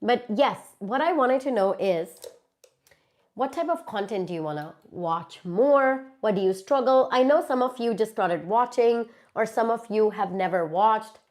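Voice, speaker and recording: Brief: woman, congested with a cold, fairly high voice, chatty live-stream host talking into her phone. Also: expressive, a little slow, a little mellow. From India. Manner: chatty, reads out viewer comments and answers them. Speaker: YouTuber hosting a live Q&A. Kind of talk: casual live-stream chat with viewers.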